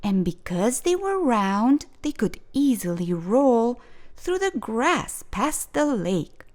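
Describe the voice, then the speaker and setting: A woman reading a rhyming children's picture book aloud for storytime, gentle and expressive. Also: a little high, takes it slowly. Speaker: storytime reader.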